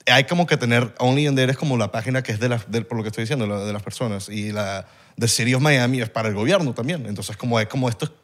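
Un hombre joven criado en México, invitado de un pódcast, que charla de forma suelta y mezcla palabras en inglés. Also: male voice, medium pitch. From Mexico. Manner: fast, loose, mixes English words into Spanish. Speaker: podcast guest. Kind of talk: casual chat.

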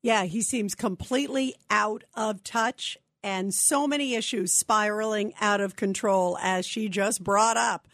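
A woman's voice: mid-range voice, a little slow, few pauses, a bit bright, no room sound. A woman hosting a radio talk show, giving opinionated commentary and talking straight to her listeners. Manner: opinionated, conversational, addresses listeners directly.

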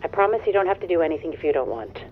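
A woman's voice: low-pitched, lively intonation, very fast. Woman playing an urgent detective on the phone. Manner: urgent, pleading. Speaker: detective sister. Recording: tinny phone line.

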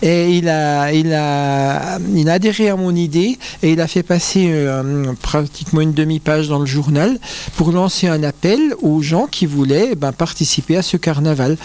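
A man's voice: a little high, a little mellow. An older man from the Vosges in France, telling his story as an interview guest in a reflective, explanatory, sometimes hesitant way. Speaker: interview guest, carnival founder.

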